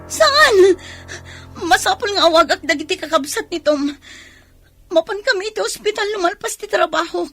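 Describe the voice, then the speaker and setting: Radio drama: worried Filipino woman, extremely high-pitched, sharp tone. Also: lively intonation, normal speed, soft background music, full character voice. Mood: distraught, tearful. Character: anxious Filipino woman fretting over wounded relative.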